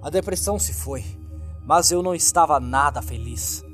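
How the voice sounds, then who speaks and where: A man's voice: on the high side, expressive, moderate pace, a bit bright. A man with a Brazilian accent reading a scripted horror story as narrator, in a grave and dramatic manner. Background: background music.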